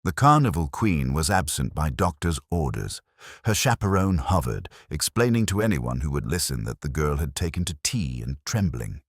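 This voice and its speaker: A man narrating a mystery story in a measured, wryly understated way. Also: extremely low pitch, very animated, no echo, clean studio sound. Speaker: audiobook narrator.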